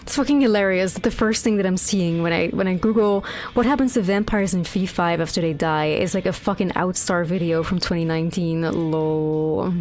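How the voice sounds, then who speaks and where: A woman's voice: lowish voice, brisk, few pauses, a little mellow. Swearing woman streamer. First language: Dutch. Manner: rambling, swearing, exasperated. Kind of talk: casual gameplay chat.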